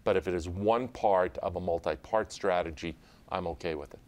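A middle-aged man on a televised panel, making his case in a measured, deliberate way, recorded on a lapel mic in a studio. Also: low voice, a little mellow. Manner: measured and deliberate, building an argument. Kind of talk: televised political panel debate.

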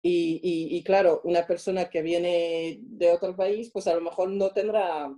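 A woman with a French accent answers interview questions in a mellow, slightly low voice. She speaks reflectively and haltingly, often stopping to hunt for the next word. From France. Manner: halting, searching for words. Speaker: interviewed guest.

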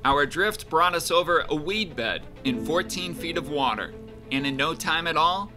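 Fishing show host narrating over guitar. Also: male voice, a little high, normal speed. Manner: calm, steady narration, excited exclamations on the boat. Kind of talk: scripted narration and casual chat on a boat. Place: small boat on a freshwater pond, outdoors. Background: guitar music.

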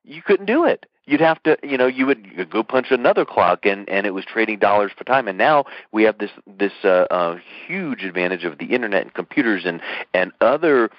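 A man's voice: fairly low pitch, lively intonation, a little fast, no echo. A male radio show host, upbeat and chatty, summing up his guest's advice. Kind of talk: interview host chat. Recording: dull lo-fi radio sound.

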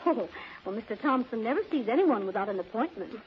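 An actress playing an earnest, naive young secretary in a theatrical 1930s radio drama style, with precise diction. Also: female voice, a little high, expressive, quick pace, close to an everyday voice. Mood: prim, defensive. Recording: muffled, noisy old 1930s radio recording.

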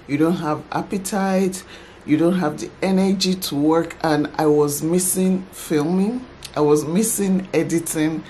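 A woman in her thirties talks to camera at her desk in a relaxed vlog style. Her voice sits low and she laughs easily. A light hiss runs under it. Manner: chatty, laughs often, soft-spoken. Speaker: vlogger. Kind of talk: casual talk to camera. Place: home office by a computer desk.

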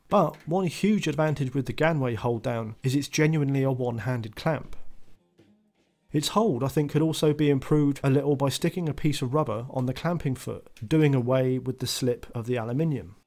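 A man's voice: mid-range voice, gravelly, a little mellow. A man giving a scripted voice-over tool review, matter-of-fact with dry, understated asides.

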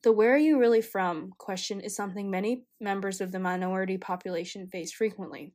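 A young woman in college, from China and raised in the United States, reading a quiet, reflective personal narration. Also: medium pitch, fairly even intonation, fairly quick. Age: young adult. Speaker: college student.

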